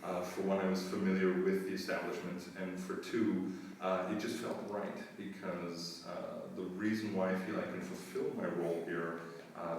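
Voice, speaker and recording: Middle-aged American-born administrator, earnest and measured, deep voice, heard from across a roomy space. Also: male voice. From United States. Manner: earnest, measured, with frequent filler pauses. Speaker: school administrator. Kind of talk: interview answers. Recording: roomy echo, distant mic, faint noise.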